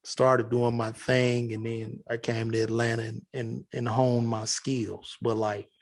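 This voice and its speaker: A man's voice: mid-range voice, level tone, measured pace. A man from Chicago's South Side with a Chicago accent, a guest comedian chatting on a podcast in a loose, profane way full of fillers. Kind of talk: casual podcast chat.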